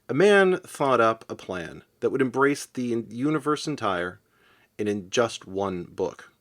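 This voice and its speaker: A man reading literary verse aloud in measured, deliberate phrases with pauses. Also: fairly low pitch. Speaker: poetry reader.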